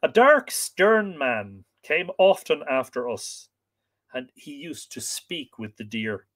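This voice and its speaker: A man's voice: fairly high pitch, big pitch swings, takes it slowly, a bit bright, heavily acted. A man reading as a sorrowful wild boy. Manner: sorrowful, wistful telling. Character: wild young boy raised by a deer.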